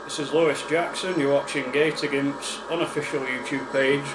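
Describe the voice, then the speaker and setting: A man with a West Yorkshire accent hosting a casual beer-tasting vlog, chatty and unhurried, with plenty of ums and uhs. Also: medium pitch, fairly even intonation. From West Yorkshire, England. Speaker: beer reviewer, channel host. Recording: echoey room with air-conditioning hum.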